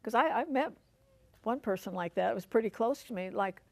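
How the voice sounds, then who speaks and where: Older female talk show host, American, higher-pitched, dry close studio sound. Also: lively intonation, a little fast, gravelly, no room sound. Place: furnished living-room style TV studio set.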